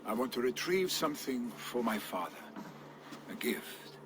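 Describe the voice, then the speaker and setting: An actor playing a proud, earnest son of a dead jarl in a game cutscene. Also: male voice, mid-range voice, expressive, unhurried, natural voice.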